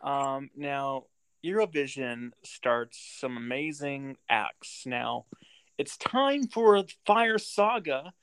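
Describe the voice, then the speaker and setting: Brief: American male podcast host, halting and chatty, dry closely miked voice. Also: medium pitch, lively intonation, fairly bright tone, clean tone, no room sound, rough recording. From United States. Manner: halting, many ums, laughs often. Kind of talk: casual movie podcast chat.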